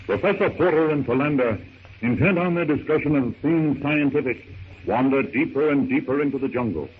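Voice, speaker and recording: A man's voice: mid-range voice, lively intonation, brisk, natural voice. A man giving scripted, dramatic narration for an old-time radio serial. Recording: tinny, noisy old radio recording.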